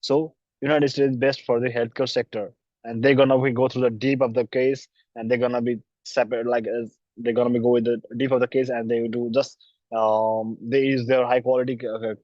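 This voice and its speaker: A young man from Nepal gives a recorded class presentation with a sore throat, talking in a halting way with many restarts and throat clearing. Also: medium pitch, a little mellow, no echo, dull audio. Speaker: university student presenting.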